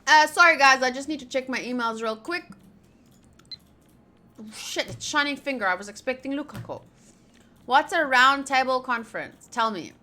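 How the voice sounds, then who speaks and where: A woman's voice: sits high, expressive, moderate pace, long pauses, bright, forceful tone. A young woman streaming a visual novel, chatting casually in a playful, sweary, slangy way. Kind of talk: casual gameplay chat, reading game lines aloud.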